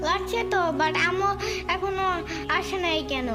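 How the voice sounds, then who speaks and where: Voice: extremely high pitch, a little slow. An actor voicing a bossy, stubborn little child in a cartoon, whiny and demanding, slipping English words into the talk. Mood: sulky. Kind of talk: Bengali cartoon dialogue. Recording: loud music behind the voice.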